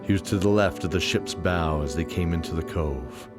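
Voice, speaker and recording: A man reading scripted fantasy audio drama narration in a measured storytelling manner. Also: low voice. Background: music.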